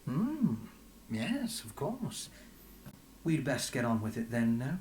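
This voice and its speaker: Lilting, curious game newcomer. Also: male voice, medium pitch, wildly swooping pitch, takes it slowly, a little mellow. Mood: brisk. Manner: courteous, musing. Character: curious, politely probing newcomer in a fantasy city. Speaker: game character. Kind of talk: scripted fantasy game dialogue. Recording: light background ambience and noise.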